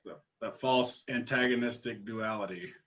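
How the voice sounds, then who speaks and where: A male interviewer with a bright, forward tone and a relaxed, agreeable way of talking, full of fillers. The sound comes through dull and lo-fi. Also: lowish voice, moderate pace. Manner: relaxed, agreeable, many fillers and short backchannels. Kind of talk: casual interview chat.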